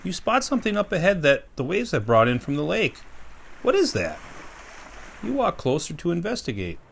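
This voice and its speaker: A park ranger reading a sensory story aloud to young children, gently asking them questions as he goes. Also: a little high, wildly swooping pitch, normal speed, creaky, slight background noise.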